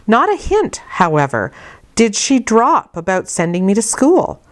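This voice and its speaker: A woman narrating a literary story in a measured, careful reading manner. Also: medium pitch, huge pitch swings. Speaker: audiobook narrator.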